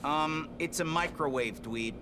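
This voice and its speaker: A man's voice: a little high, measured pace, noisy background, natural voice. A man playing a tough, hot-headed teenage mutant turtle in a cartoon video game, slangy, impatient and sarcastic. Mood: impatient sarcasm.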